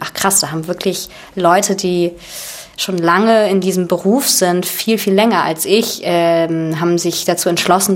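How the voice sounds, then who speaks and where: Relaxed chatty young woman, lowish voice. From Germany. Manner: chatty and relaxed, many fillers and restarts. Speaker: actress and guest. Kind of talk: casual chat.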